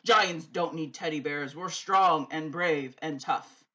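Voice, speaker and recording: A woman voicing a loud, grumpy male giant in a storybook, roaring and growling with drawn-out threats. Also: sits low, voice pushed down. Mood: gruff scorn. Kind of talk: storybook character voice in a read-aloud.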